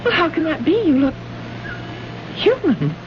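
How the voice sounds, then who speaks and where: Expressive earnest woman diplomat. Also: high-pitched, measured pace, heavily acted. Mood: startled. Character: earnest, puzzled woman diplomat of the far future. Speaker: actress in a radio drama. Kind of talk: old-time radio drama acting. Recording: noisy, muffled old radio recording.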